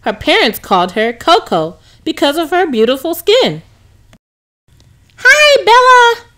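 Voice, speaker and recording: A woman reads a children's story aloud. Her voice sits fairly high and moves up and down with lots of expression, in a scripted narration style. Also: big pitch swings, normal speed. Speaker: storybook reader.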